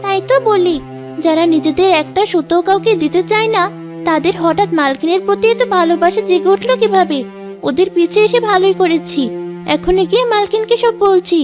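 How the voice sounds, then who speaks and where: Woman as a high-pitched loyal cartoon maid. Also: a little fast. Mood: anxious. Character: loyal young housemaid, watchful and earnest. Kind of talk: Bengali cartoon dub. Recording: music behind the voice.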